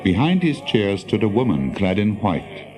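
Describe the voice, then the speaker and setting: A male narrator tells a fantasy tale in measured, literary prose. His voice is low, with expressive rises and falls, and music plays clearly behind him. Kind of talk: scripted storybook narration for audio drama.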